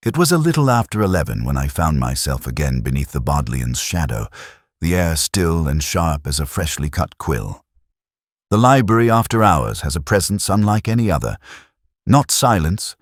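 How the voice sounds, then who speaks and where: A man acting as a melancholy detective tells his mystery in the first person, in an extremely deep voice. His delivery is reflective and literary, with wide swings of intonation over measured phrases. The sound is dry and intimate, like a scripted audio drama. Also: no room sound, full character voice. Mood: brooding, hushed. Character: brooding, melancholy male police inspector narrating his case.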